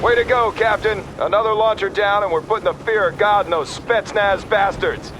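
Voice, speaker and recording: An actor playing a gung-ho, combative army captain in a military video game, talking in brash soldier fashion. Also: male voice, sits high, steady tone, moderate pace, noisy background, close to an everyday voice. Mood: exultant. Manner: brash, forceful soldier talk. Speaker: army field officer.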